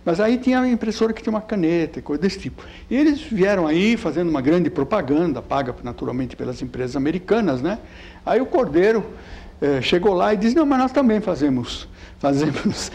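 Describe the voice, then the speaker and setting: An older man born in Italy, with a light Italian accent, giving a physics lecture with slides in a chatty, halting way full of fillers. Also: fairly high pitch, a little mellow, a little hiss or hum. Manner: halting, many fillers and repeated words; chatty, keeps asking "né?".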